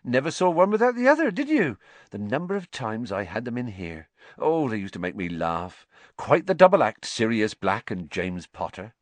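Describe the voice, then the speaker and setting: A man's voice: medium pitch, sing-song, normal speed, no echo, voice pushed up, close to an everyday voice. Man voicing a gossipy female pub landlady. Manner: gossipy, familiar.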